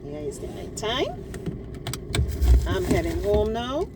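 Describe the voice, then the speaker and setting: A woman talking calmly and reflectively to her viewers while heading home at night. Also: medium pitch, takes it slowly, recorded from a distance. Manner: calm, musing, soft-spoken. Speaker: vlogger. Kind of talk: casual reflective talk to camera. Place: outdoors at night, travelling home. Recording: loud street noise, voice off-mic. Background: traffic and street noise.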